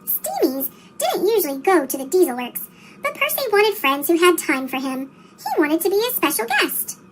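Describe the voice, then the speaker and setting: Very high chipmunk-voiced woman reading a story. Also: big pitch swings, normal speed, a little hiss or hum, low-quality recording. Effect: sped-up chipmunk voice, childlike. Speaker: storybook reader. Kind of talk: scripted children's story read-aloud.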